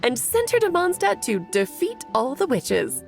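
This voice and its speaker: A woman's voice: very high-pitched, very animated, normal speed, vocal fry, loud music behind the voice, exaggerated voice. An actress playing a playful, theatrical grown witch in a video game, eloquent, airy and teasing. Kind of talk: video game cutscene acting.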